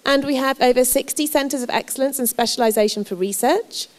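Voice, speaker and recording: A woman's voice: high voice, a little fast. A woman from Wales giving a chatty, reassuring presentation about her university to prospective students, with frequent ums. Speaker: university representative. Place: lecture or seminar room.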